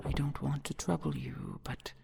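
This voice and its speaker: A woman's voice: low-pitched, fairly even intonation, moderate pace, vocal fry, warm tone, whispered. A woman playing a proud, protective dragon queen in an audio roleplay, speaking formally with sardonic disdain. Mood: wary, tender. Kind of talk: audio roleplay acting, partly intimate whispering.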